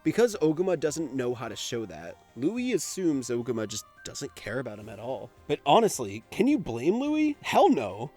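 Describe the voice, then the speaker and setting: A man narrates an anime video essay in a loose, conversational register. His mid-pitched voice moves up and down in a lively way, and soft music plays behind him. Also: normal speed, hardly any pauses. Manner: informal, conversational, occasional swearing. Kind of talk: casual scripted commentary on an anime.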